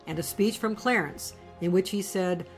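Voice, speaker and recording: Woman, documentary narrator, measured storytelling read, mid-pitched, soft music underneath.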